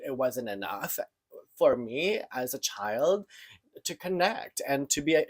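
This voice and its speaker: A man in his thirties, from the Philippines, speaks in a personal monologue. His voice sits at an ordinary middle pitch, and he talks in an earnest, searching, open way. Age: 30s. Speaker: podcast host.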